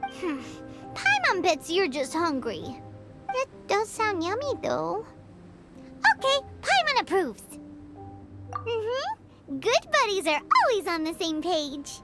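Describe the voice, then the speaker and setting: A woman voicing a tiny, excitable, cheeky girl fairy companion in an anime video game, squeaky and bubbly. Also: slow pace, long pauses, exaggerated voice. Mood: pleased. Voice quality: squeaky, childlike. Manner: refers to self in third person, bubbly, expressive. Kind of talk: anime video game English dub acting. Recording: studio voice over game music.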